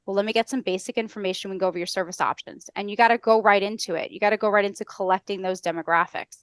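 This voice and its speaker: A middle-aged American woman on a headset, explaining step by step as a podcast guest in a brisk, structured, instructional way. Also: medium pitch, smooth, no echo. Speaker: podcast guest, customer service trainer. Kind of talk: explanatory interview talk with acted-out call examples. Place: indoor room on a video call.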